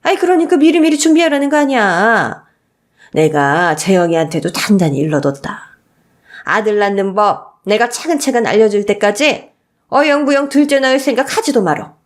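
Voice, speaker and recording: A woman's voice: mid-range voice, animated, normal speed, voice pushed up, lightly acted. A woman voicing a domineering older mother-in-law in a radio story, insistent and scolding. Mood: scolding, pushy.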